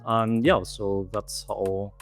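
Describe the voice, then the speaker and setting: A man's voice: fairly low pitch, little pitch movement, slow, soft background music. A man from southeast Germany, answering interview questions in a thoughtful, explanatory way as a guest. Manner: thoughtful, explanatory, lightly amused. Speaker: guest, language course author.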